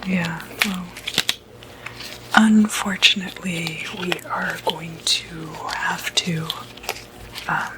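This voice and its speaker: A woman whispering breathily in an ASMR medical roleplay, playing a reassuring doctor with a hesitant, gentle bedside manner. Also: lowish voice, steady tone, very slow, long pauses, very noisy. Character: calm, reassuring doctor who treats demonic possession.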